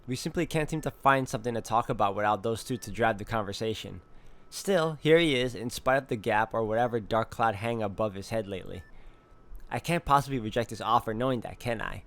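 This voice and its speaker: A man reading introspective first-person visual novel narration in a measured way. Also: fairly low pitch, a bit bright. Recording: faint music under the voice. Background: music.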